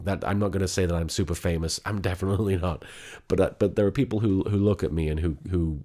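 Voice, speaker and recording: A man talking quietly and haltingly in a candid vlog, sick, with a gravelly voice and many restarts. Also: low-pitched. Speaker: vlogger.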